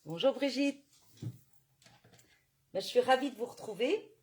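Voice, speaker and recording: A woman's voice: high voice, expressive, a little slow, darkish tone, breathy edge. A woman with a cold and sore throat, hosting a casual craft live stream and greeting viewers by name, chatty with many fillers.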